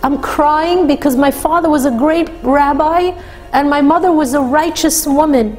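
A woman's voice: high voice, moderate pace, a little mellow, faint music behind, pitched above the performer's own voice, close to an everyday voice. A woman voicing a frightened twelve-year-old girl held captive, pleading and tearful.

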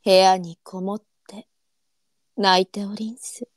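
A woman playing a tender-hearted, melancholy courtesan of old Japan in a live voice drama, speaking softly and demurely in courtesan dialect. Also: medium pitch, level tone, takes it slowly, no room sound, studio quality, natural voice. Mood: somber. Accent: courtesan arinsu dialect. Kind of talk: online live voice drama acting.

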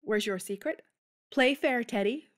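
A woman's voice: on the high side, a little slow, close to an everyday voice. A woman playing a spirited, tomboyish young woman in an audio drama, speaking in a forthright, emphatic way. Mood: indignant. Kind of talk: theatrical audio drama acting.

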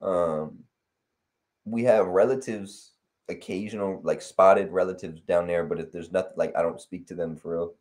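Young man from LA, very low and mellow voice, loose rambling chat over a headset call. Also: moderate pace. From Los Angeles. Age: young adult. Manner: relaxed, rambling with many fillers. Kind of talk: casual chat. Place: home room on video call. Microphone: headset on video call.

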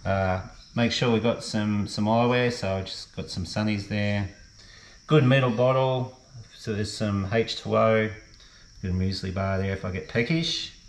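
Low-voiced Australian man, rambling gear talk. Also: a little hiss or hum. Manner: relaxed, rambling with many ums and restarts. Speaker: fishing vlogger. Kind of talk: casual gear walkthrough. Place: garage or shed, indoors.

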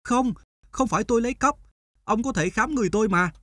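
An actor playing an earnest, aggrieved young male student in an animated folk tale, protesting in a plaintive, emphatic way. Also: extremely high pitch, fast talker, no echo. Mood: indignant, defensive.

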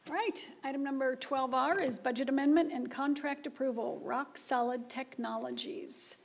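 A woman's voice: high-pitched, normal speed, gravelly, slight background noise. A middle-aged woman chairing a city council meeting at a desk microphone, speaking with frequent restarts. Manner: warm praise, repeated restarts. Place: council chamber.

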